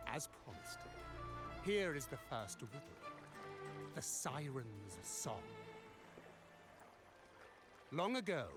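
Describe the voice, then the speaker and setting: An actor playing a flamboyant, greedy demon tempter in a video game, with a sly, grandiose, theatrical delivery. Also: male voice, fairly high pitch. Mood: theatrical, gracious. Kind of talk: video game story dialogue.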